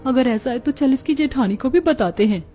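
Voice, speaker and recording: A woman voicing a gossipy, easily amazed neighbour in an animated family story. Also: high-pitched, brisk, faint music behind, pitched above the performer's own voice. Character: gossipy, wide-eyed neighbourhood women.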